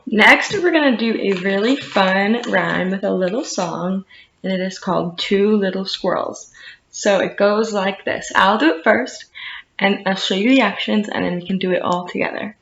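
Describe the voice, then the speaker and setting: A woman hosting storytime as a children's librarian, expressive and encouraging as she reads and explains to little ones. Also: mid-range voice, moderate pace, gravelly, slight background noise. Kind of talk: storytime read-aloud and teaching rhymes to children. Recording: echoey room, slightly muffled sound.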